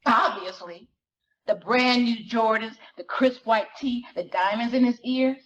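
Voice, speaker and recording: A woman performing a self-conscious, wry sixteen-year-old girl narrating her life in a slangy, expressive dramatic reading of a novel. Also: fairly high pitch, unhurried, highs cut off. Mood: fond, frustrated. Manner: slangy, expressive, conversational storytelling.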